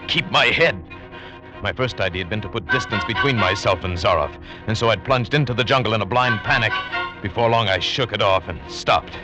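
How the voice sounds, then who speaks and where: An actor playing a grim hunted man who tells his own suspense story, tense and deliberate, in 1940s radio style. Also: fairly low pitch, close to an everyday voice. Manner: tense, deliberate dramatic delivery. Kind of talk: 1940s radio acting, first-person narration. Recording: vintage radio drama with music behind.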